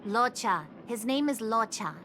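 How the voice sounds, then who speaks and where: Game role: precise young woman, high, bright, measured; light music under. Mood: matter-of-fact. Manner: calm, precise corrections. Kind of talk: video game voice acting.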